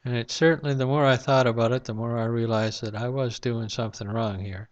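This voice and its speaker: A man's voice: medium pitch, steady tone, brisk. Reflective man rambling in a dull lo-fi recording. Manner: rambling, reflective, with many fillers. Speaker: vlogger. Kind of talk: casual monologue to camera. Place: home room at night, lamp-lit.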